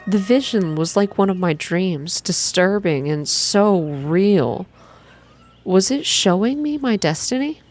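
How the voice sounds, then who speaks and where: A woman softly reading a scripted first-person story in a measured ASMR style, as narrator. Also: medium pitch, animated, soft background music. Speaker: reader, narrator.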